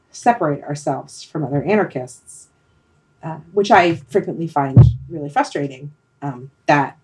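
Female podcast host, middle-aged, low register, conversational, halting and exploratory phrasing. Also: a little mellow, low-quality recording. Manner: hesitant, thinking aloud, rambling. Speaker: podcast host leading a discussion. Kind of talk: casual reflective conversation.

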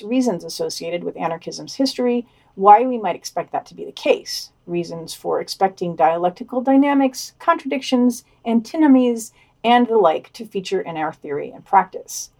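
A woman's voice: medium pitch, creaky, darkish tone. A woman co-hosting a podcast, reading out a scripted news digest with commentary.

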